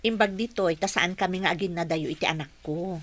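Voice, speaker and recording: A woman with an Ilocano accent playing a patient, affectionate working mother in a radio drama, polite and gently instructing. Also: fairly low pitch, brisk, slight background noise, close to an everyday voice. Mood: earnest, grateful.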